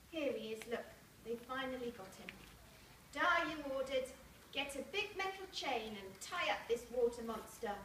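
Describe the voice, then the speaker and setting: High-pitched woman reading slowly to children. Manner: simple, deliberate phrasing, checking in with young listeners. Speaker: storyteller reading to young schoolchildren. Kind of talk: animated picture-book read-aloud with asides to children. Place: large indoor primary school hall. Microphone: camera several metres away. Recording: echoey school hall, distant camera, noisy room.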